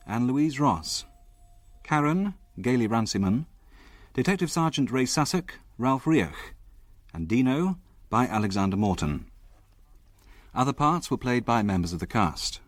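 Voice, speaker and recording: A man reading a scripted radio drama announcement in a measured, formal manner. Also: mid-range voice. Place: broadcast studio.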